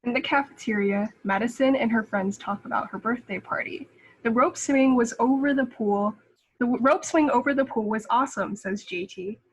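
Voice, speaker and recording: A woman's voice: a little high, normal speed, husky, rough recording. A woman reading a children's picture book aloud. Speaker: read-aloud reader.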